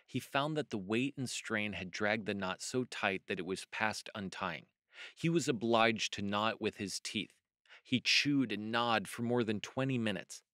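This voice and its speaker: A man narrating a children's storybook as host, measured and expressive. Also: fairly low pitch, no echo, studio quality.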